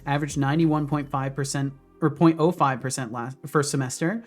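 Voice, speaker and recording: A man's voice: fairly high pitch, a little fast. A man in his thirties casually chatting with his stream viewers while he codes, with faint music behind him. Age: 30s. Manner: relaxed, talks to chat between coding asides. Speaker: streamer and game developer. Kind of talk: casual livestream chat while coding. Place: home office.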